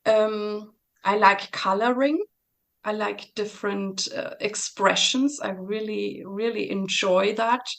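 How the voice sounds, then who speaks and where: A woman singer speaks in a reflective interview at a middle pitch. Her voice has a touch of fry, and she talks haltingly, restarting often as she searches for words. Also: steady tone, fairly bright tone. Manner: thoughtful, halting, with many restarts and fillers.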